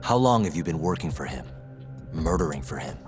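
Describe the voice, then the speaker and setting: A low-pitched, tough investigator in a dramatic game cutscene, with music clearly behind him. He is cool, confident and sardonic, leaning on people with taunting, pointed lines. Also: animated, fairly quick. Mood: cool interrogation. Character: tough, sardonic male investigator pressing a captive for answers. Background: background music.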